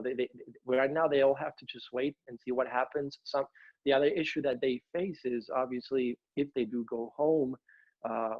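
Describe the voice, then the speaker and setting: A young man from Ecuador talks earnestly from home, his sentences full of fillers and restarts. His delivery stays level and flat. The audio is dry and a little dull, like a home video call. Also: medium pitch, no room sound. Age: young adult. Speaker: college tennis coach. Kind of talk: interview over video call.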